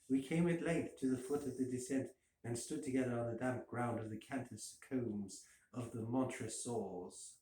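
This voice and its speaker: Mid-pitched man reading aloud, echoey room. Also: dark tone. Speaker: amateur story reader. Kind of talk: read-aloud gothic story narration. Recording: echoey room with faint noise.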